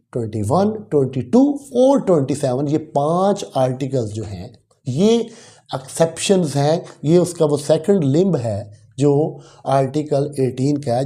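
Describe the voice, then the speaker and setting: A man's voice: mid-range voice, mellow. A man with a Pakistani accent lecturing like a law teacher, explaining didactically and repeating key phrases for emphasis. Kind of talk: exam lecture explaining a legal text, switching between Urdu and English.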